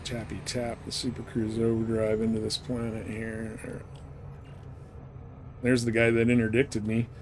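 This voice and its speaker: A man's voice: fairly low pitch, monotone, unhurried, a little mellow. A man giving casual, rambling live commentary as he plays a game, often muttering to himself. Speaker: game livestreamer. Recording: clearly noisy background.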